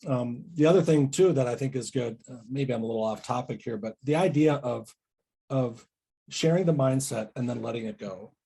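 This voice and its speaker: A man's voice: medium pitch, moderate pace, vocal fry, dark tone, breathy edge. A man speaking as a guest coach in a casual podcast discussion, reflective and tentative, often checking in with tag questions.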